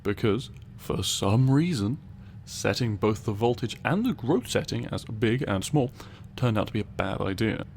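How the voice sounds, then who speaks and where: A man acts out a pedantic tiny male scientist in a comedic audio roleplay, low and gravelly. He is dry, sarcastic and condescending, choosing long, precise words with weary patience. A steady hiss sits behind the voice. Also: moderate pace. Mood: weary, disapproving.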